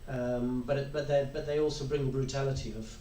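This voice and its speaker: Man, panel discussion, halting emphatic delivery, echoey room, mic far off, faint noise. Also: mid-range voice, little pitch movement, dark tone. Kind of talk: panel discussion, opinionated explaining. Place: live convention panel room.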